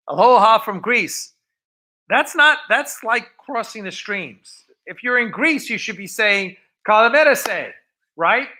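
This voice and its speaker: A man's voice: high-pitched, sharp tone. A man hosting a casual livestream, chatting in a jokey, talkative way. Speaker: livestream host. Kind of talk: casual live chat.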